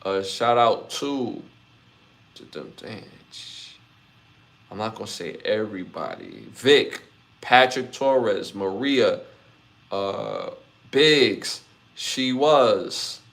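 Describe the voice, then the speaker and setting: A man in his forties hosting a podcast, closing a livestream with relaxed chat and shout-outs to viewers by name. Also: fairly low pitch, drawn-out words. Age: 40s. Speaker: podcast host. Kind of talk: casual livestream sign-off chat.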